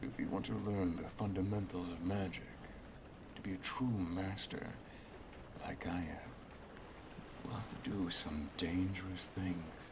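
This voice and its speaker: A deep, hushed male wizard acted by a man guides an apprentice he loves in an intimate ASMR roleplay. He is protective and gently instructive, often near a whisper. Clear background noise sits behind the voice. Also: steady tone, measured pace. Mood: solemn, protective. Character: protective, affectionate male wizard master teaching his apprentice and lover. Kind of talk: ASMR roleplay, intimate second-person monologue.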